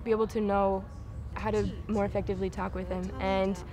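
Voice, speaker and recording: A woman's voice: medium pitch, level tone, fairly quick. Enthusiastic young woman from Utah. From Utah, USA. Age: young adult. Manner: bright, enthusiastic. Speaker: missionary. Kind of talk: interview.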